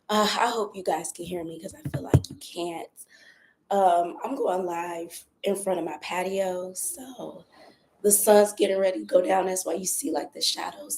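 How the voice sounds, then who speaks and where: A young woman with a raspy, hoarse voice from a cold, chatting casually on a phone live stream outside by her patio and keeping her voice soft. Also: medium pitch, slight background noise. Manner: talks softly, holding back her voice. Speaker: vlogger doing a live stream. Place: outdoors by her patio at home.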